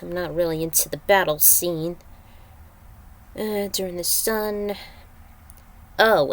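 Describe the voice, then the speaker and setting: A woman casually reading a story aloud, adding offhand asides and skimming over bits. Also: on the low side. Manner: offhand, skims with filler syllables. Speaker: fan fiction reader.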